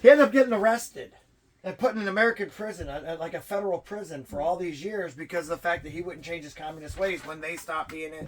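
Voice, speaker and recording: A man's voice: fairly high pitch, fast, rough recording. A man hosting a wrestling show, joking and rambling through a casual rundown with stammered restarts. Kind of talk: casual chat and rundown of rankings. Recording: clear background room noise.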